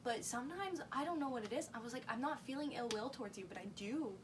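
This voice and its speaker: A woman's voice: high-pitched, animated, quick pace. A young woman in her twenties from Texas, co-hosting a casual podcast and telling stories with easy laughter. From Texas, USA. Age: 20s. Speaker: podcast co-host. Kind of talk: casual chat between best friends. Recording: noisy room, distant from mic.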